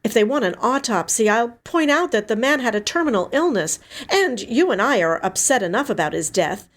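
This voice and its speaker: Woman performing a grieving older sister, higher pitch, emotional, pleading, resolute, protective, audiobook drama. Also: sing-song, fairly quick, a bit bright. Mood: firm, planning. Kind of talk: dramatic audiobook character dialogue.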